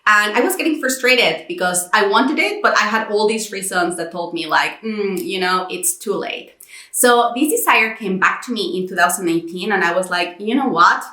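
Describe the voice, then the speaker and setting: A woman's voice: medium pitch, normal speed. A thirty-year-old woman from Mexico telling her personal story in a chatty vlog, acting out her own thoughts as she goes. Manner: animated retelling with quoted inner dialogue. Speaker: YouTube content creator. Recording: roomy echo.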